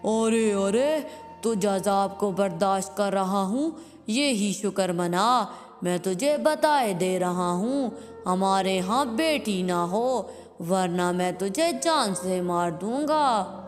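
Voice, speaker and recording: A woman's voice: medium pitch, slow pace, lightly acted. Woman playing an angry husband bird, echoing reverb. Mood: threatening. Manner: domineering, menacing, scolding. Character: angry, threatening husband bird who wants only sons. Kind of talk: Hindi cartoon moral-story voice acting. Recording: long reverb with music behind.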